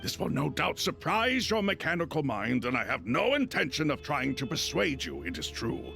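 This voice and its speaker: An actor playing a haughty, declamatory lord of a mythic council in a video game. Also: male voice, fairly high pitch, huge pitch swings, normal speed, gravelly, pushed and bright, soft background music, exaggerated voice. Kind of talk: dramatic video game voice acting.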